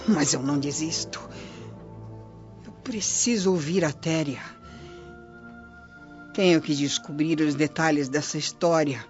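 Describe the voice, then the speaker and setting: Radio drama role: vengeful, tipsy Roman noblewoman, dragging out each phrase theatrically, muffled recording. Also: low voice. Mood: scheming resolve. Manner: slow, theatrical delivery. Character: vengeful, drunken, scheming Roman widow. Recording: dull lo-fi audio with music behind.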